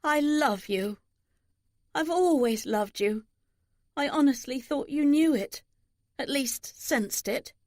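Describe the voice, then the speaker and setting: A woman's voice: high voice, lively intonation, slow pace, long pauses, a little mellow, no echo, studio quality, voice pushed up. A woman voicing a loving, guarded older mother in an audiobook novel, speaking earnestly. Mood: earnest, regretful.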